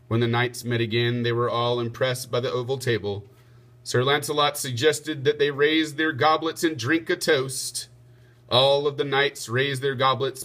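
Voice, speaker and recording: A man's voice: medium pitch, fairly bright tone. A man reading a children's storybook aloud expressively, as a teacher would.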